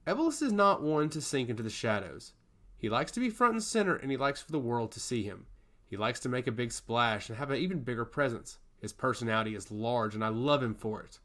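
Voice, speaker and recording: A man's voice: medium pitch, a bit bright, lightly acted. A man playing a composed young princess in a fantasy audiobook, earnest and reflective. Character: thoughtful, composed young princess, calculating and sincere.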